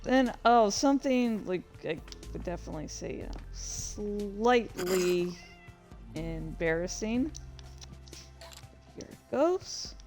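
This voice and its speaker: A woman talks at an unhurried pace, in a casual and meandering way, as a writer commenting on her own work. She is halting and self-deprecating, and swears freely. Faint music plays behind her. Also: medium pitch, expressive, a little mellow.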